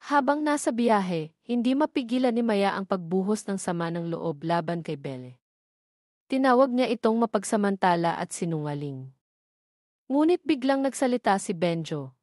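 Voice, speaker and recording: A woman's voice: mid-range voice, darkish tone, no room sound, studio quality. A woman with a Filipino accent narrating a scripted dramatic story.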